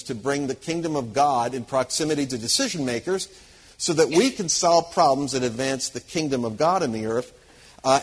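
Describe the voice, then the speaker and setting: Husky-voiced man teaching a church audience. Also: mid-range voice, low-quality recording. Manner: earnest, emphatic and conversational, with frequent restarts.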